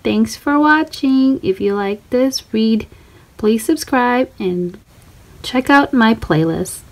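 A woman's voice: on the high side, lively intonation, takes it slowly. A woman reading a children's storybook interactively, with a sing-song, encouraging manner for young listeners. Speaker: storybook read-aloud host.

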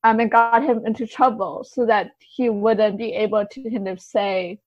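A woman with a fairly high voice talks through ideas as a podcast guest. Her manner is informal and hedging, peppered with "kind of" and "like". The sound is very dry and slightly muffled. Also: fairly even intonation, moderate pace, dry recording. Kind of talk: casual literary discussion.

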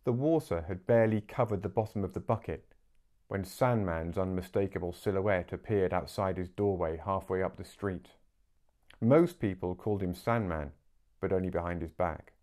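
A man in his forties reading a story aloud as an expressive audiobook narrator. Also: sits low, moderate pace. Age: 40s. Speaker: book narrator. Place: small living room, indoors.

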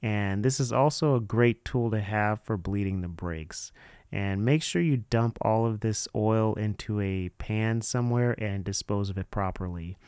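A man with a fairly low, clear voice presents a how-to tutorial. He talks informally and without a script, explaining each step as he goes. The sound is dry and close, with very little room to it. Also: measured pace, darkish tone, dry recording. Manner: informal, unscripted, hands-on explaining. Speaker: presenter of a DIY how-to video. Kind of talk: casual step-by-step tutorial narration.

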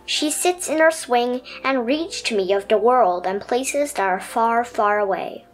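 A woman voicing a dreamy, adventurous little pet turtle in an expressive storybook telling. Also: on the high side, unhurried, faint music behind, close to an everyday voice, low-quality recording. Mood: dreamy, wondering.

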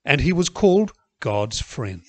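Male scripture reader, animated intonation, dry close recording. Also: a little high, dry recording. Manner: expressive, measured reading. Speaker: Bible reader. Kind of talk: scripture reading.

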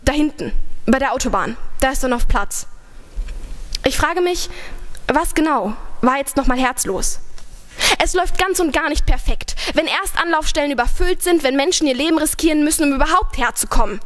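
A young woman stands at a stand mic on a large dark stage. Her voice sits high. She moves between halting, chatty asides and an earnest, ironic spoken-word delivery. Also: animated. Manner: chatty and hesitant in the intro, earnest and ironic when reading. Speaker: poetry slam performer.

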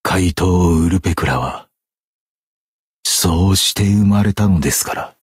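An actor voicing a suave, poetic masked fox phantom thief in a video game, with flowery, courtly, dramatic phrasing. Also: male voice, low-pitched, measured pace, slightly rough, no room sound, studio quality, full character voice. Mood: poetic, brooding. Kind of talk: stylized Japanese game character voice acting.

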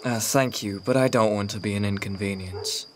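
A man's voice: on the low side, normal speed, slight background noise, natural voice. Guy acting a tired traveler in a radio play, casual, faint crickets behind. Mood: apologetic, polite. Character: weary, polite male traveler.

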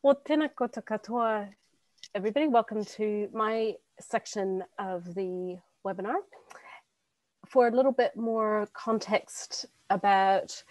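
A woman in her forties with an American accent, a rural GP presenting over a video call in an earnest, animated way. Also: mid-range voice, a little slow, dry recording. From United States. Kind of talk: webinar presentation. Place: home room on a video call. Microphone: headset earbud mic on video call. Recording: dry, slightly distant call audio.

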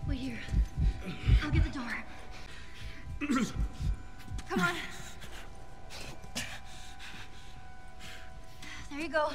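A woman's voice: sits high, a little fast, loud music behind the voice, lightly acted. An American woman voicing a tough, wary teenage girl survivor in a video game, blunt and urgent. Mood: urgent, pleading. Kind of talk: video game cutscene acting.

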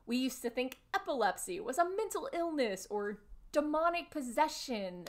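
A woman's voice: high-pitched, very animated, moderate pace. A woman in her thirties giving an earnest, emphatic explanatory talk to camera, recorded indoors at home. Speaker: video presenter summarizing a discussion panel. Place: small furnished room at home, indoors.

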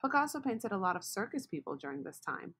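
Breathy woman reading a children's book aloud. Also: a little high, fast talker. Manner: expressive, asks the listener questions. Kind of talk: read-aloud storybook narration.

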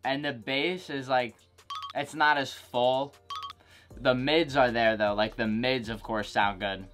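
A young man talking straight to camera from a couch in his bedroom, chatty and a bit jokey as he reviews a gadget. His voice sits at an ordinary pitch for a man, and faint music plays low behind him. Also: fairly even intonation, slow. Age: young adult. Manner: chatty and jokey, lots of "go ahead" and "like". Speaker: tech reviewer, channel host. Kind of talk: casual product review vlog.

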